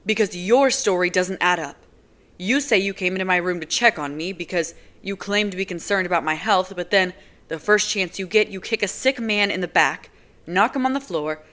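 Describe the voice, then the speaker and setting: A woman voicing a furious young man in an audiobook, loud and heated as he demands answers. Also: on the low side, slow.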